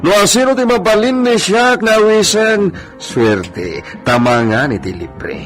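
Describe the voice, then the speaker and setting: A man with a Filipino accent playing a suave, flirtatious señor in a comedy radio drama, theatrical and drawn-out, sprinkling in Spanish words. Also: high voice, big pitch swings, a bit bright, full character voice. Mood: hearty, coaxing. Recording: music bed under the dialogue.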